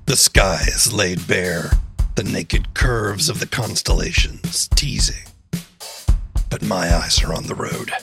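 An obsessed, brooding driver in a radio drama, his gravelly voice dropping very low. He speaks slowly, in a hard-boiled monologue, over loud guitar and drums. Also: heavily acted. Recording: loud music underneath.

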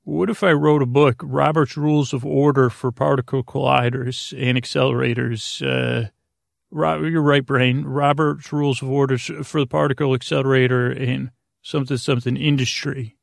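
A middle-aged man hosting a bedtime sleep podcast, rambling and halting, self-deprecating and trailing off mid-sentence. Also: mid-range voice, level tone, vocal fry, dry recording, studio quality. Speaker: podcast host.

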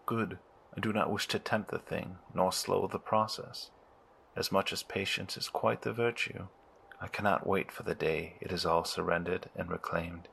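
A man's voice: low-pitched, level tone, normal speed, gravelly, a bit bright, a little hiss or hum. An actor playing a pious, wary cult zealot in an audio drama, solemn and formal in his phrasing.

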